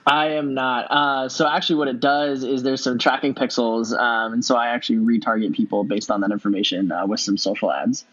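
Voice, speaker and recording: A man in his thirties from Southern California, answering interview questions in a relaxed way, with plenty of ums and easy laughs. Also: mid-range voice, fairly quick, hardly any pauses, muffled recording. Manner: relaxed, many ums and uhs, laughs easily. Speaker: podcast guest, entrepreneur.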